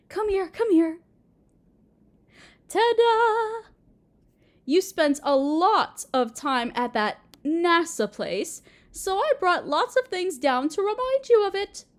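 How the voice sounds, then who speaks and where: Woman acting as possessive Mother Earth goddess, high voice, unhurried, cooing and motherly, gently menacing, audio roleplay. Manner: sweet, cooing, motherly, talking as to a small child. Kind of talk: scripted roleplay audio drama.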